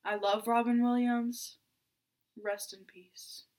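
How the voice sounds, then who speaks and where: A woman's voice: fairly high pitch, flat delivery, slow pace, a little mellow. A seventeen-year-old girl born in Iraq, co-hosting a casual podcast and chatting with lots of fillers and giggles. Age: teens. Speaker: podcast co-host. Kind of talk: casual chat.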